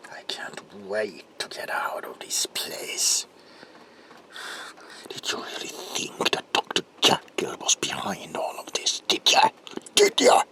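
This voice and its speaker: Evil victorian monster man for horror asmr, raspy whisper thats sharp and hissy, really slow n sadistic. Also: fairly low pitch, wildly swooping pitch, exaggerated voice, poor audio. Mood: mocking. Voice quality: whispery, creaky, breathy rasp. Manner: menacing, slow, theatrical, swinging from whispers to outbursts. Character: sadistic, gloating monstrous alter ego, male Victorian villain.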